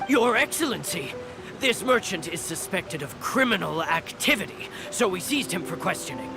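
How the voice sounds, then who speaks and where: Stern accusing soldier; animated delivery, game music behind. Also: male voice, high voice, moderate pace, low-quality recording. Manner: forceful, accusatory.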